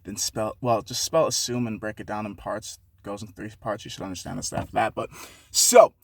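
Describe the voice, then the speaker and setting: A man's voice: on the low side, fairly quick, vocal fry, no echo. A young man from Haiti talking casually to his viewers in a rambling, profane, self-mocking way, with many restarts and detours. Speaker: vlogger. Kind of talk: casual rambling monologue to camera.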